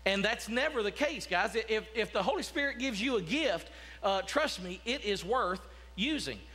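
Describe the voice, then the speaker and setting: Pastor, 30s, bright high voice, chatty sermon with restarts, church sanctuary. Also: male voice, expressive, normal speed. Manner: conversational preaching with frequent repeated restarts and asides. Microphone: podium mic, walking about the platform.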